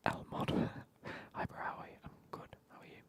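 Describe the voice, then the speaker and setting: A man's voice: moderate pace, fairly bright tone. A man, whispering as he banters with his live stream chat and swears now and then.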